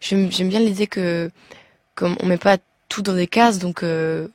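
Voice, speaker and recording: Young woman, Belgian French accent, low-ish voice, dry studio sound, stumbling chatty guest. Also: fairly even intonation, measured pace, darkish tone, no echo. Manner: hesitant, many restarts, chatty. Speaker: singer guest. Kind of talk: radio interview. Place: radio studio.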